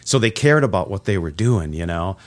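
A man's voice: low voice, lively intonation, brisk, no room sound. A middle-aged man hosting a radio interview, chatting casually and rambling through nostalgic stories. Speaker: radio host.

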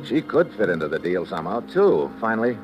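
An American actor playing a tough, suspicious secret agent, terse and commanding, in a 1950s radio drama. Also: male voice, on the low side, big pitch swings, a little fast, highs cut off. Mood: hard-boiled, brooding. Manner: terse, hard-boiled, commanding. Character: tough, suspicious male secret agent narrating his adventure. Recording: old radio broadcast with loud music.

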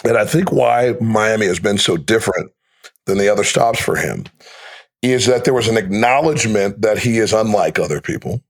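A man's voice: on the low side, moderate pace. A man talking casually as a podcast guest, telling stories like a sports broadcaster in short, clipped phrases with wry, slangy asides. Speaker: basketball radio play-by-play announcer, guest.

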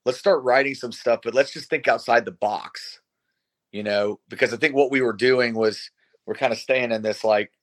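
A man's voice: medium pitch, fairly even intonation, moderate pace. A man of about forty talks like a rock singer being interviewed. His speech is informal and conversational, with some swearing, in a mid-range voice. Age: around 40. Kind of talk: casual chat.

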